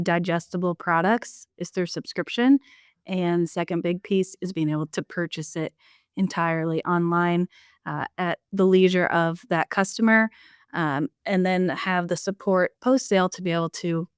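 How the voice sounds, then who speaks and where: A woman's voice: on the low side, clear, resonant tone, no echo, clean studio sound. A woman hosting a business podcast discussion in a polished, upbeat corporate manner. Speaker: podcast host.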